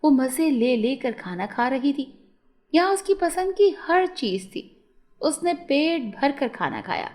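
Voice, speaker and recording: A woman's voice: sits high, big pitch swings, slow, a little mellow. A woman narrating a scripted moral story for children as a storyteller.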